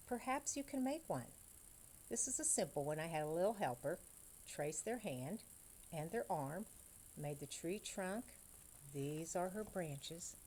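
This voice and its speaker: A woman librarian addresses young children as in story time. Her voice is low and mellow, her pace very unhurried, her wording simple and direct. There is faint noise in the background. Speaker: school librarian. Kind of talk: storybook read-aloud and craft talk for young children.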